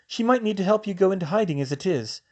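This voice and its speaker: This is a man reading a clever, determined teenage witch, decisive and organized. The voice sits high for a man and moves lively up and down. She sounds fast and sure of her plans. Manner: brisk and decisive. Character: sharp, determined teen witch, organized planner.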